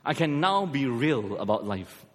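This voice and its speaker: Middle-aged malaysian-born guy preaching in a big reverby hall, pitch jumps all over, funny stories. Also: very animated, unhurried. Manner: storytelling with self-deprecating humor. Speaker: pastor. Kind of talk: sermon.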